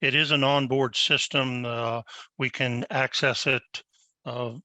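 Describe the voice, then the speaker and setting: A man on a webinar panel answering audience questions over a video call, measured and a little apologetic. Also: medium pitch, steady tone, pushed and bright, dry recording.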